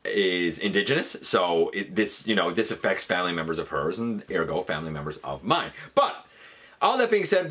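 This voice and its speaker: A man's voice: medium pitch, fairly bright tone. A man hosting an online countdown, mixing showy announcer-like emphasis with chatty asides as he talks to viewers. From Canada. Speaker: YouTube channel host. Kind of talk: countdown video commentary to viewers.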